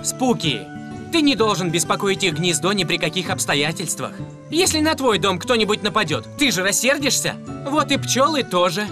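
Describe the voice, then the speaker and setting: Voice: medium pitch, big pitch swings, moderate pace, natural voice. An actor playing a confident, responsible young police car robot leader in a children's cartoon dub, commanding and earnest. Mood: gently scolding. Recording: very loud music under the voice.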